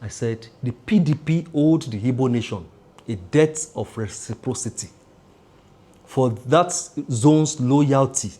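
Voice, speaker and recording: A Nigerian man giving measured political commentary, driving his points home with repeated phrases and lists. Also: mid-range voice, warm tone.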